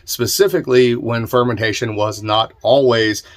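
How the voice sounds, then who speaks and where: A man's voice: mid-range voice, fairly even intonation, moderate pace. An American man hosting a podcast, talking casually and plainly as he explains things and answers questions in an informal, self-deprecating way. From southern Indiana, United States. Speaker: host of a distilling podcast and channel.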